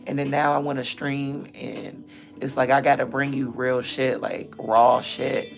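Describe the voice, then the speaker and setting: Raspy, gravelly woman chatting on a livestream. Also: a bass voice, little pitch movement, measured pace. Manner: candid, rambling, drifts between loud and murmured asides. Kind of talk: casual livestream chat.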